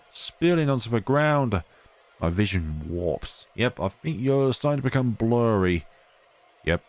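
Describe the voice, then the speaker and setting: A man's voice: lowish voice, clean tone. A man reading a horror visual novel aloud as a let's player, adding casual, wry, understated asides. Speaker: video game let's player.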